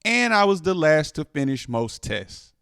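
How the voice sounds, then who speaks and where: Animated man reading a storybook aloud. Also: fairly high pitch, vocal fry, clean tone, dry recording, clean studio sound. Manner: expressive, dramatic emphasis with drawn-out words. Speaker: storytime reader. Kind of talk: animated children's picture book read-aloud.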